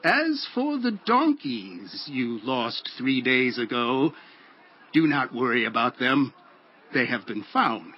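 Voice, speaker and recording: An actor playing a solemn, commanding prophet in a dramatized audio Bible, with measured, declamatory delivery. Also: male voice, mid-range voice, big pitch swings, a little hiss or hum. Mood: solemn, assured. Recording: dull lo-fi audio with music. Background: background music.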